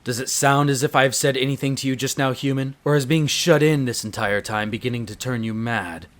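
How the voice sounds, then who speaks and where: A man's voice: mid-range voice, brisk, a bit bright, close to an everyday voice. A man playing a haughty, urbane vampire master in an audio roleplay, condescending and coolly menacing, speaking with theatrical relish. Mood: irritated, condescending. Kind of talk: dramatic audio roleplay narration.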